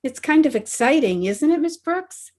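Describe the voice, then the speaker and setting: A woman playing an earnest, naive and excitable teenage schoolgirl in an old-time radio comedy. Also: high-pitched, moderate pace. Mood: excited, anxious. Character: earnest, scatterbrained teenage schoolgirl, principal's daughter. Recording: remote video-call recording.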